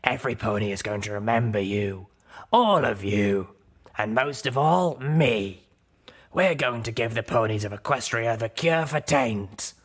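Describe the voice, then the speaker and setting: A man voicing a cracked undead pony doctor in an audiobook, muttering obsessively in a gravelly rasp. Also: medium pitch, animated. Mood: deluded, encouraging. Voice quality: raspy, gravelly. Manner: slow, muttering, rambling to himself. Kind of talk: audiobook character voice.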